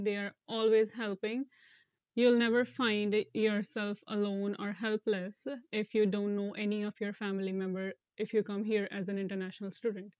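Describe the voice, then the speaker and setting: A young woman from India, an international student, speaking earnestly in an interview with some halting restarts, on a lapel mic. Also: mid-range voice, a little mellow, clear, resonant tone, no echo. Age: young adult. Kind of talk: casual interview talk.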